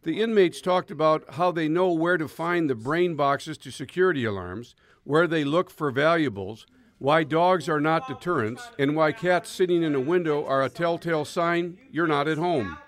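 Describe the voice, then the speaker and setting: A man narrating a local news segment in an even, measured reporting style. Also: a little high.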